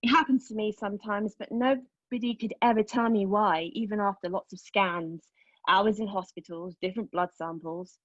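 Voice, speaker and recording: A haunted young woman played by an actress delivers an intimate theatrical monologue in a husky voice. Her delivery is halting and intense, moving between hushed confession and sharper emphasis. The sound is close and dry, though a little dull and lo-fi. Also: mid-range voice, steady tone, no room sound, close to an everyday voice. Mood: reflective, uneasy. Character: haunted young woman recounting a painful memory. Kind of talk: dramatic monologue read at a table read of a play.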